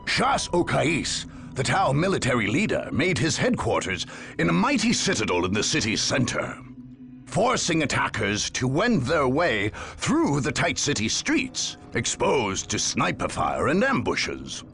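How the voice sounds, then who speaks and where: A man acting as a solemn, measured war chronicler, narrating a sci-fi game saga in a theatrical style. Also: mid-range voice, wildly swooping pitch, creaky, a bit bright, heavily acted. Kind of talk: dramatic scripted lore narration for a war game.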